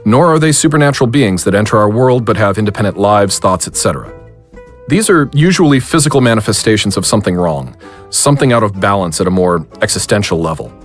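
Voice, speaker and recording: A man playing a calm, learned occult investigator in an audio drama, explaining things in measured, wordy sentences. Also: fairly low pitch, soft background music, natural voice. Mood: calm, explanatory.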